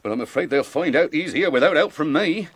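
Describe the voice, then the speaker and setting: An English actor playing a flustered, apologetic fat innkeeper in a fantasy radio drama, bumbling and rambling with a rustic turn of speech. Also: male voice, on the high side, animated, fairly quick, a bit bright, husky. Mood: earnest, obliging. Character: flustered, apologetic, forgetful fat innkeeper, loyal and well-meaning.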